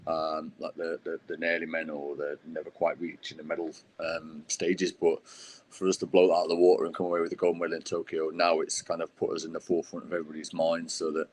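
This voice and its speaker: A man answering podcast interview questions as a guest, speaking haltingly with many fillers and restarts. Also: a bass voice, completely flat delivery, darkish tone, a little hiss or hum. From United Kingdom. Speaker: guest, wheelchair rugby player.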